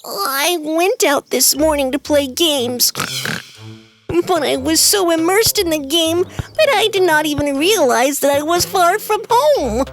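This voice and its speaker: A woman voicing a childlike, plaintive little lost piglet in an animated fairy tale. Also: high-pitched, a little slow, faint music behind. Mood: pleading, worried.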